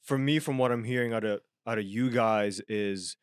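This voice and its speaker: A man's voice: lowish voice, moderate pace, clean tone, no room sound, studio quality. A young man from Japan co-hosting a podcast, talking earnestly in a casual chat with frequent restarts. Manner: earnest, animated, many restarts. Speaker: podcast co-host.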